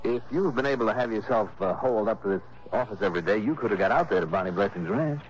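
Man acting, investigator, early 1960s radio drama, lively pitch, wry probing delivery, hissy old recording. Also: fast, close to an everyday voice. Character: easygoing, shrewd male insurance investigator. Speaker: lead actor and narrator. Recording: muffled, noisy old radio broadcast.

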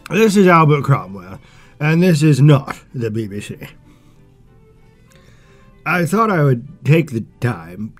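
A man voicing a pompous, self-important British royal ex-broadcaster, halting and mumbling as he rambles through a comedic podcast movie review in a British English accent. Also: mid-range voice, heavily acted. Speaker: podcast host.

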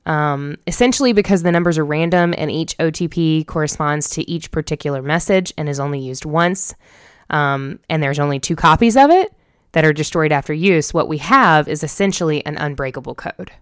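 An American woman with a low, clean, resonant voice, recorded close and dry. She sounds like a podcast host, measured when she narrates and casual when she chats. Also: clear, resonant tone, no room sound. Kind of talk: scripted narration with casual banter.

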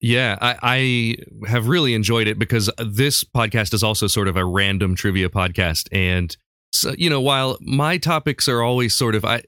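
A man's voice: medium pitch, normal speed, sharp tone, no echo, clean studio sound. A man hosting a podcast, speaking as its presenter. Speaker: podcast host. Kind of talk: scripted narration, then casual chat with guests. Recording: music behind the voice.

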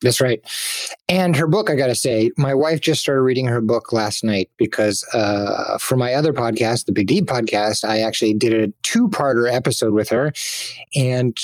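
A man's voice: medium pitch, normal speed, no room sound. A man co-hosting a podcast, chatting casually, joking and asking lots of questions. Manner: animated, joking, asks many questions. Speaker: podcast co-host.

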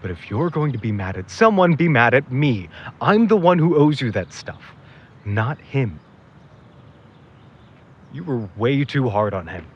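A man's voice: mid-range voice, sing-song, unhurried, long pauses, highs cut off, slight background noise. A man playing an earnest, protective but awkward young partner in a naturalistic audio drama. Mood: earnest, reproachful.

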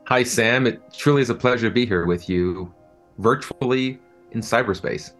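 A man's voice: mid-range voice, moderate pace, a bit bright, faint music behind. A man answering interview questions as a producer, conversational and enthusiastic, often repeating words as he thinks. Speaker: film and audio drama producer being interviewed.

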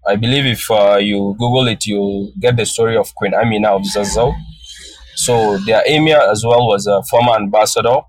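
A man's voice: fairly low pitch, normal speed, no echo, poor audio. A man from northern Nigeria whose first language is Hausa, answering interview questions thoughtfully and often restarting his phrases. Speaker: guest photographer.

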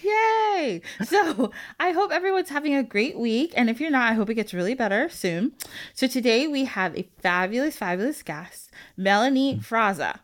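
A woman's voice: on the high side, very animated, moderate pace. A woman hosting a casual podcast interview, bubbly and giggly, heaping praise on her guest. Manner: bubbly, giggly, effusive with compliments. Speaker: podcast host.

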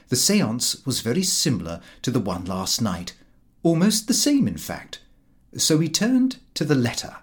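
A man reading a comic diary aloud as an audiobook narrator, in expressive scripted narration. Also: medium pitch.

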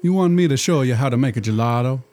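A man's voice: mid-range voice, lively intonation, fast talker, darkish tone, lightly acted. An actor playing a jolly, fatherly Italian genie who cooks, in a put-on Italian accent with broken English. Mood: jovial. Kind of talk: comedy audio drama.

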